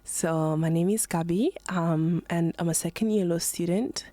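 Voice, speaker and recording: A woman's voice: lowish voice, unhurried, warm tone, no echo. A nineteen-year-old woman hosting her own podcast, talking casually and earnestly with lots of "um" and "like", a little nervous. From Ghana, born in Italy, living in the UK. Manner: chatty and earnest, many fillers like "um" and "like". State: a bit nervous. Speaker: podcast host. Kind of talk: casual solo podcast monologue.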